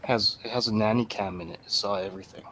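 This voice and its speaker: A man with a fairly low voice trades casual movie opinions as a guest. He jokes dryly, and there is some faint noise behind him. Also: fairly even intonation, quick pace, a bit bright, rough recording. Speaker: guest reviewer on a radio show. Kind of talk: casual chat, movie banter. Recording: clear background noise, room ambience.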